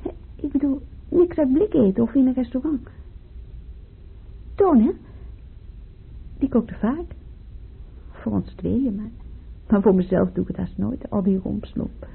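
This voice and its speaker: Radio drama role: reassuring, practical carer, gravelly creaking voice, thin phone-like audio. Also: female voice, on the high side, unhurried, long pauses, heavily acted. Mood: coaxing, caring. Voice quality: husky, creaky. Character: caring, practical woman reassuring a fragile companion. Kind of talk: radio play acting. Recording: very narrow, tinny radio play.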